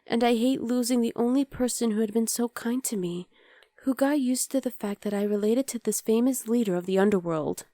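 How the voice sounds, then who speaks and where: A woman playing an affectionate, playful young demon girlfriend in an intimate ASMR roleplay, speaking softly to the listener. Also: a little high, fairly quick, no room sound, studio quality, lightly acted. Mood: worried, regretful.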